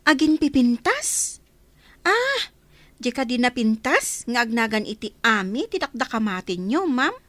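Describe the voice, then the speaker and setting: A guarded housemaid acted by a woman with an Ilocano accent and a fairly high voice. She answers evasively yet deferentially, radio-comedy style, in a dry recording. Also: sing-song, a little slow, fairly bright tone, dry recording. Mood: defensive, deferential. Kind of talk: village comedy radio drama.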